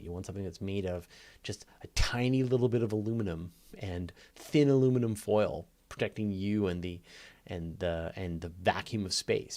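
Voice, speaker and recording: A man hosting a live question-and-answer show, explaining conversationally and emphatically in an off-the-cuff way, with repetition and everyday comparisons. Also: lowish voice. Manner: conversational, emphatic, builds points through repetition and everyday analogies. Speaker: host of a live astronomy Q&A.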